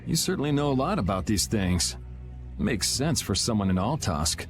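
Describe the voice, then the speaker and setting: A man's voice: fairly low pitch, sing-song, normal speed. An actor playing a cool, wry young swordsman with a casual, teasing way in a video game. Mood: cool, serious. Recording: music under the voice.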